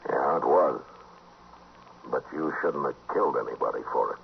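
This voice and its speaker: An American actor playing a calm, authoritative frontier marshal in a 1950s radio western, speaking tersely and without hurry. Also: male voice, very deep voice, vocal fry, slight background noise, exaggerated voice. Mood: calm, firm. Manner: terse, unhurried, plain-spoken. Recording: muffled vintage 1950s radio recording with music.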